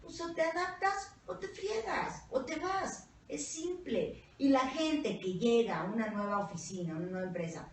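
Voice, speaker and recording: A Mexican woman co-hosting a casual panel chat, blunt, profane and animated, with a Mexican Spanish accent. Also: sits high, moderate pace, few pauses, slight background noise. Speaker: co-host. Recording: echoey room, mic at a distance.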